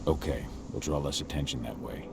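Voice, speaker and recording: An actor playing a gruff, stoic, loyal werewolf warrior in a dramatic video game. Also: male voice, rumbling low, fairly quick, very noisy. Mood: grim, resolute.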